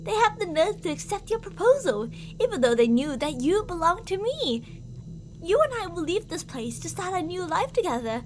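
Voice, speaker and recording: A high, bright, lilting voice that coos with sugary menace and then snaps into sudden shouting, as a woman acts it out. This is an obsessive, possessive captor in an audio drama, holding her beloved with unsettling devotion. A faint hiss sits under the voice. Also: sing-song, normal speed, low-quality recording. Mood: menacing sweet. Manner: sweet, cooing and menacing, bursts into shouting.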